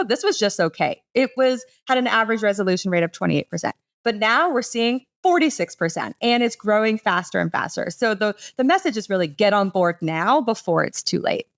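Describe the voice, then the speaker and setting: A woman giving a conversational business presentation as a podcast panelist, explaining things with enthusiasm in casual phrasing. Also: on the high side.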